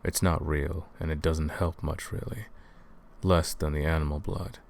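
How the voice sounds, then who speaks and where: A man performs a centuries-old male vampire turned hunter, weighed down by guilt yet driven. The voice sits low and moves slowly, hushed and somber, with halting pauses. It is an intimate ASMR roleplay monologue. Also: fairly even intonation, gravelly. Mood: somber, weary.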